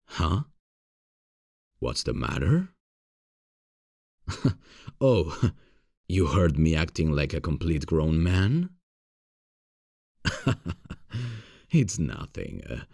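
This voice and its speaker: An extremely low-pitched, husky man's voice as a male voice actor plays it in an ASMR boyfriend roleplay. He is a playful, cocky gamer who takes his time over his words and sounds close and dry. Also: a little mellow, dry recording, exaggerated voice. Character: playful frustrated gamer boyfriend, grown man, cocky but affectionate.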